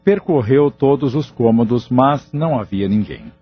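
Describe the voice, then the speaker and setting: A man's voice: medium pitch, animated, normal speed, husky. A man reading scripted, dramatic narration for an audio novel. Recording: muffled audio drama recording with music.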